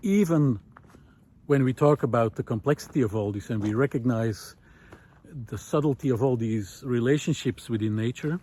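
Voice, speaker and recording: A man talking reflectively while walking on a forest path outdoors, pausing often with fillers and lifting his voice on key points. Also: mid-range voice, mellow, a little hiss or hum. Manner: thoughtful, many pauses and fillers, rising emphasis on key points. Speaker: nature video essayist.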